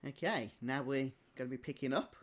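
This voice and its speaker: A man's voice: mid-range voice, fairly quick. A man hosting a gaming video, giving casual, conversational commentary over gameplay. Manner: chatty, conversational.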